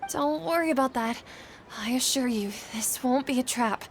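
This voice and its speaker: A woman's voice: high voice, moderate pace, a little hiss or hum. An actress playing a guilt-ridden, earnest woman scholar in a video game, speaking expressively and a little hesitantly. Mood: earnest, careful.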